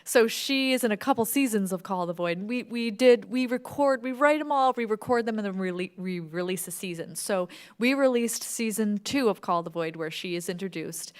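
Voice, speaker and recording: A woman's voice: mid-range voice, normal speed, clean tone. A woman talking casually and enthusiastically as a podcast creator at a public event, often dropping in "like". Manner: informal, enthusiastic, frequent "like". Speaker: podcast creator and panel speaker. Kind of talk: casual chat at a public talk. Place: library event with audience.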